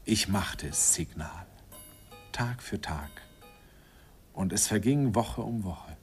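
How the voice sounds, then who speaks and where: A man's voice: fairly low pitch, animated, slow pace, faint music behind. An actor narrating a radio play as a middle-aged man fondly recalling his first love, in a wistful, reminiscing way.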